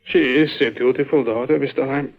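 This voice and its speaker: Casting: male actor as crafty older European patriarch, mid-pitched, courtly and insinuating, on a dull vintage radio recording. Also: steady tone, fairly quick, gravelly, natural voice. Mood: sly, insinuating. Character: sly older European father, crooked, oily and formal. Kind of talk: old radio drama acting.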